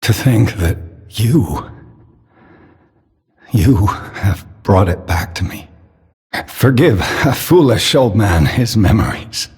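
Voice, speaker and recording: An actor playing a doting, sorrowful elderly inventor father in a video game, speaking solemnly with a husky, breathy voice. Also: fairly low pitch, expressive, darkish tone, full character voice. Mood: wistful. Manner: slow, measured, solemn. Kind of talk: dramatic game character dialogue. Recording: long reverberant hall echo.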